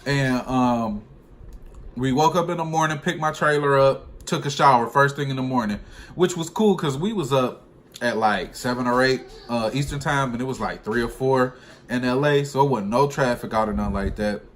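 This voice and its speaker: A man's voice: mid-range voice, normal speed. Man telling casual stories over background noise. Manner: informal, slangy, profane. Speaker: truck driver vlogger. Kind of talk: casual storytelling chat.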